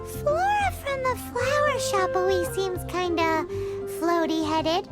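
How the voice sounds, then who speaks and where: Tiny squeaky game fairy, super high and swoopy, whiny lil kid energy, music behind. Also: female voice, animated, slow, heavily acted. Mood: eager, curious. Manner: whiny, squeaky, refers to self in third person. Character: small excitable female fairy companion, childlike, easily scared. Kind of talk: anime-style video game voice acting. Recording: background music under the voice.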